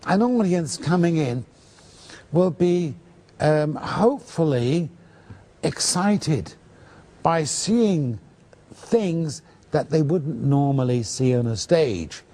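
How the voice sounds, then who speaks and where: A man's voice: on the high side, lively intonation, takes it slowly, long pauses, mellow. A man talking reflectively in an interview, hesitant and thoughtful. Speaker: theatre maker interviewee.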